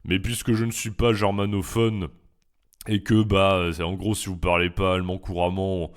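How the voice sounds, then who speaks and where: A young French man, a philosophy teacher and YouTuber, reading his FAQ answers in a measured, reflective way with some hesitant fillers. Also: deep voice, little pitch movement.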